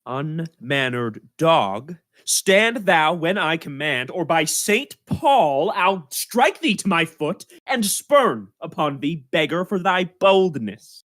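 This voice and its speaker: A woman's voice: deep voice, very animated, slow, hardly any pauses, a bit bright, heavily acted. A young woman playing a cunning, commanding male villain in a Shakespearean radio play, imperious and insinuating. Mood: commanding, threatening. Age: young adult. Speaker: acting student.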